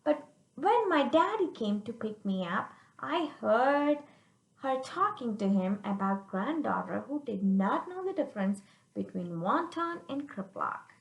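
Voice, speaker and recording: A woman reading a picture book aloud to children, pausing to explain it simply and check they follow, in a roomy recording. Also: a little high, gravelly. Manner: animated, checks understanding with listeners.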